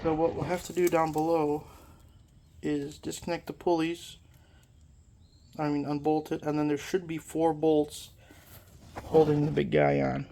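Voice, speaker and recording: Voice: mid-range voice, little pitch movement, unhurried, gravelly, mellow. The host of a repair channel, stuffed up from a lingering cold, casually walks through a mower engine repair in a workshop, rambling and correcting themselves as they go. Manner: rambling, self-correcting, many fillers. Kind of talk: casual hands-on repair walkthrough.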